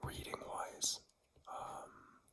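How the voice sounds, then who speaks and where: A man vlogging in a whisper because he has lost his voice, talking in a rambling way with many fillers and an American-sounding accent, indoors in a home. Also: drawn-out words. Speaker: vlogger and singer-songwriter. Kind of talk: casual vlog chat.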